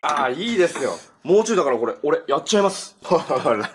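A man's voice: a little high, sing-song, fast talker, raised voice, heavy background noise. A young man acting an upbeat, kind-hearted adventurer and hero in a live-action TV superhero drama, speaking in an earnest, friendly, boyish way. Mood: cheerful, casual. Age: young adult.

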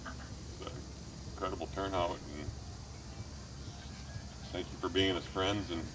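A middle-aged man speaking at his father's memorial outdoors, plainspoken and halting, with many fillers, into a handheld mic. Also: deep voice, fairly even intonation. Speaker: son giving a eulogy. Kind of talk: eulogy. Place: outdoors at a waterside memorial gathering. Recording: distant outdoor recording with background noise.

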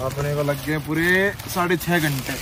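A man's voice: on the high side, moderate pace, background noise. A young man in his twenties trading teasing banter with friends during a road trip.

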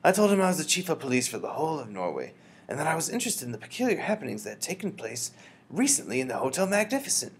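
A man voicing a calm, wise elderly grandmother who speaks in a measured, reassuring way. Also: fairly high pitch, a little hiss or hum, pitched above the performer's own voice.

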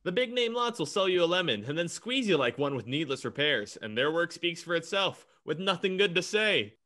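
Man playing animated, bright 1940s radio pitchman. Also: brisk. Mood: eager salesman. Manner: punchy, animated sales patter. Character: eager folksy 1940s garage proprietor pitching his business.

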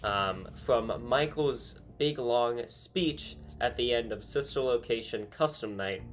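A young man talking casually at an ordinary pitch, thinking out loud with lots of ums and uhs. He sounds relaxed and conversational, like he's recording from his bedroom desk. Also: slow, faint music behind. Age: young adult. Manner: chatty, thinking aloud with many fillers. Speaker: YouTuber analyzing game dialogue. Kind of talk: casual commentary. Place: small bedroom with string lights.

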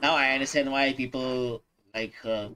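A young adult man giving casual, halting reaction commentary, repeating himself and swearing mildly. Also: mid-range voice, fairly even intonation, bright, forceful tone, soft background music. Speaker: reaction video host. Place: small bedroom, indoors.

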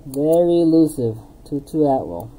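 A woman's voice: deep voice, sing-song, normal speed, warm tone, low-quality recording. A woman hosting a live card break, calling out player names as she opens packs, laughing at herself and losing her voice. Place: indoor streaming desk with card boxes.

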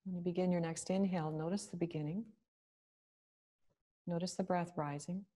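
A woman leading a guided meditation, speaking slowly and gently with long pauses. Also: lowish voice, steady tone.

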